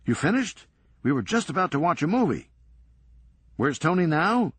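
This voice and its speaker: A man voicing a cool-headed, reckless ringleader in a crime story, brisk and unbothered. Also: a little high, very animated, fairly bright tone, breathy edge, no room sound, voice pushed up, heavily acted. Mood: breezy. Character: unflappable, cheerfully reckless male ringleader.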